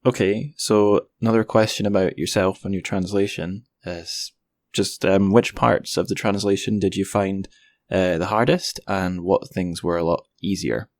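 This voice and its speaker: Man, deep pitch, casual podcast host, tentative conversational phrasing, dry recording. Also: steady tone, moderate pace, dry recording.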